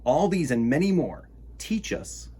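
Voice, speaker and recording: A man's voice: a little high, very animated, measured pace, mellow, a little hiss or hum. A man hosting a children's storytime, reading aloud and chatting expressively to young listeners in an enthusiastic, encouraging manner. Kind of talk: expressive read-aloud and chat addressed to children.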